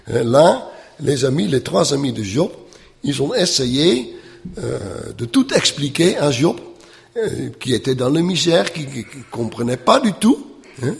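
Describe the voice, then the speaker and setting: A non-native man lecturing on scripture, slow and halting, with lots of "euh" and tag questions. His voice is gravelly and swings up and down a good deal. A long reverb trails behind him, as if he were in a big hall. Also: fairly high pitch, sing-song. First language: not French. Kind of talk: lecture on the Bible.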